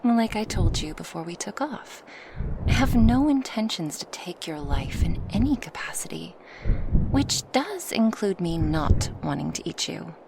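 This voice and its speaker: A woman's voice: fairly low pitch, very animated, measured pace, vocal fry, background noise, exaggerated voice. A woman voicing a huge, proud dragoness in an ASMR roleplay, speaking formally to her human, teasing yet protective, at times whispery. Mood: playful, reassuring. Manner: slightly formal phrasing, teasing, reassuring. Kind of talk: ASMR roleplay monologue addressed to the listener.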